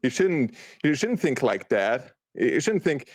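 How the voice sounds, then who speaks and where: A man's voice: medium pitch, big pitch swings, measured pace, darkish tone, dry recording, studio quality. A man with a German accent, German his first language, talking as a podcast guest in a hesitant way, with many restarts. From Austria. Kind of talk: casual chat.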